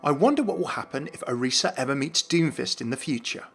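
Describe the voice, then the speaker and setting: A man's voice: medium pitch, expressive, fast, gravelly, husky. A man giving a scripted commentary on video game lore. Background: faint music.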